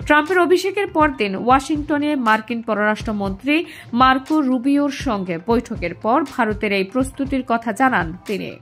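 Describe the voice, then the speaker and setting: A woman with a Bangladeshi accent reads scripted news in a formal, measured manner. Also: high-pitched, animated, a bit bright. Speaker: news presenter. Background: music under the voice.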